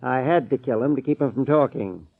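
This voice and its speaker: An American actor playing a smooth-talking, menacing criminal in a 1940s radio drama. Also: male voice, mid-range voice, fairly quick. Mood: confessing, smug. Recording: tinny, band-limited old radio recording.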